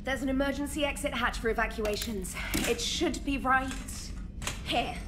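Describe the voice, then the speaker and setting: A woman's voice: high-pitched, moderate pace, background noise, lightly acted. A woman playing a flustered, articulate starship technician in a video game, fussy and precise in manner. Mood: explaining. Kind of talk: cinematic video game acting.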